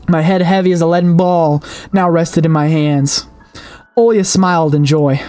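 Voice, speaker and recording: A woman hosting a gaming video, reading a horror game's story aloud in an expressive storytelling manner with her own asides. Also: lowish voice. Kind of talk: dramatic read-aloud narration of a horror game with asides. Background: game soundtrack music.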